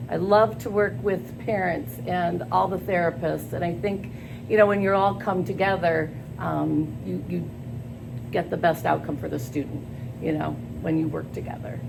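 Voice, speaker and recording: Gravelly older woman, earnest and halting. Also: lowish voice, steady tone. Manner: earnest, halting with many ums. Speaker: school staff job candidate. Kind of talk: job interview answers. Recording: noisy background.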